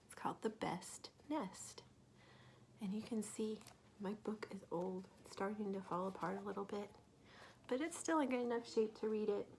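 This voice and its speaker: A woman reading a story aloud to young children, dropping into soft asides and simple questions for them. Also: medium pitch, a little hiss or hum. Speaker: children's story reader, teacher.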